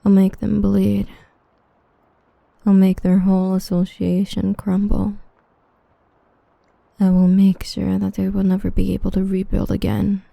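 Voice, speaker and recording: A possessive, dangerous female villain, played by a woman, whispers close to the ear in slow, flat tones. Her voice is dark and gravelly, with husky breath. The sound is dry and intimate. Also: medium pitch, dry recording. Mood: vengeful. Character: obsessive, protective female villain with destructive powers. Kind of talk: ASMR roleplay, whispered.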